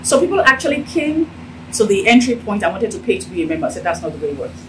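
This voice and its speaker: A middle-aged Nigerian woman with a Nigerian accent, telling her story in a casual, animated interview in an office. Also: medium pitch, quick pace, recorded from a distance, noisy background. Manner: animated, gesturing while talking. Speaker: interviewee, business owner. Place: indoor office with sofa. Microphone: handheld phone held out by interviewer.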